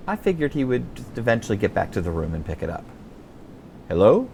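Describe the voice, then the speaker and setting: A man reading his own memoir as a scripted audiobook, telling it in a wry, expressive storyteller's manner. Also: on the low side, quick pace, vocal fry, slight background noise.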